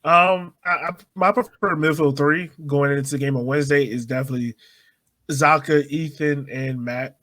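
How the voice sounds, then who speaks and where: A man's voice: a little high, steady tone, moderate pace, a bit bright. A man hosting a sports podcast, talking casually and informally in a conversational way. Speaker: podcast host.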